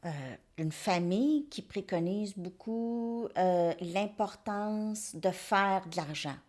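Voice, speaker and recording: A woman's voice: medium pitch, slow pace, darkish tone. A woman giving a casual tarot reading, rambling and hesitant, sometimes dropping to near-whispers. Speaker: tarot card reader.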